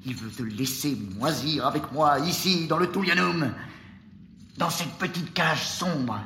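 An actor playing a taunting, death-obsessed prisoner of war in a Roman dungeon, insidious and insistent, in an audio drama. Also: male voice, a little high, pushed and bright, roomy sound, slight background noise. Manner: insidious, insistent, halting with repeated phrases. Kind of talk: audio fiction acting.

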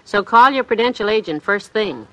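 A woman's voice: medium pitch, expressive, normal speed, poor audio. A woman delivering a scripted, conversational sponsor message in a classic radio style. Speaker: sponsor spokeswoman.

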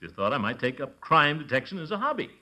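An American actor playing a pompous, good-humoured middle-aged uncle in 1940s radio comedy, blustering and chuckling through drawn-out hesitations. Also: male voice, fairly high pitch, very animated, pushed and bright, heavily acted. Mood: self-important.

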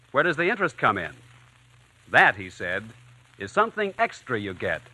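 A male 1940s radio announcer with a polished, emphatic sales delivery. His voice is very bright and a little high, with animated pitch and a dry sound. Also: no echo, poor audio.

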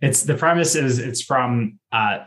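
A man's voice: medium pitch, unhurried, fairly bright tone. A man hosting a podcast, chatting casually about a TV show in an informal, jokey way. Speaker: podcast host.

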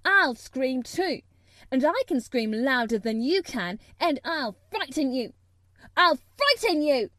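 A woman voicing a furious, bossy little girl from a storybook, shouting commands and contradictions. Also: high-pitched, big pitch swings, takes it slowly, hardly any pauses, no echo, studio quality, full character voice. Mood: fierce, threatening.

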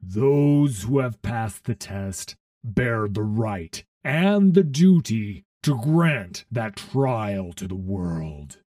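A commanding half-machine warlord preaches with grandiose zeal in an audio story. His voice is low and dark, and he speaks slowly and solemnly, as if every word is a decree. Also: animated, no echo, clean studio sound. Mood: grim, commanding. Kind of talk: dramatic audio story acting.

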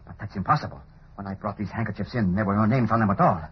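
An actor playing a dazed, indignant smuggler with formal, stammering speech, in old radio acting style on a noisy recording. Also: male voice, lowish voice, rapid-fire, husky, dull audio. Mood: bewildered.

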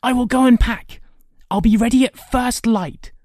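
A woman voicing an eager, excitable young man in a storybook drama, pleading and emphatic. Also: fairly high pitch, moderate pace, dry recording, clean studio sound, close to an everyday voice. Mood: triumphant.

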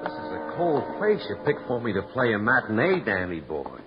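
An actor playing a cocky, smart-alecky stand-up comedian in a 1940s radio drama. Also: male voice, lowish voice, huge pitch swings, normal speed, loud music behind the voice. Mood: wisecracking. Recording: lo-fi old radio broadcast with noise.

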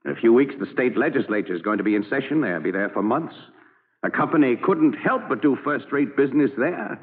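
Vintage radio sound, muffled and reverberant. A man acts a middle-aged frontier showman and father, hopeful and persuasive, with homely turns of speech and very lively intonation. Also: medium pitch, a little fast, natural voice. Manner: folksy, persuasive. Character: hopeful, folksy father running a travelling theater troupe. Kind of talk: 1940s radio acting. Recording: muffled old radio broadcast, reverberant hall.

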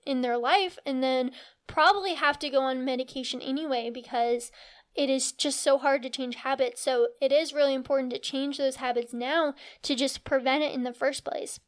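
Earnest high-voiced woman podcast host. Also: smooth. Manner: earnest, encouraging explainer. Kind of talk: educational health podcast monologue.

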